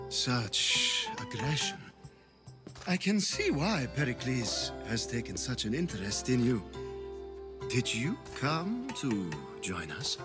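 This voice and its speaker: An actor playing a flamboyant, lecherous aristocratic reveler in a video game, with a teasing, languid, theatrical manner. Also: male voice, mid-range voice. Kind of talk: video game cutscene acting.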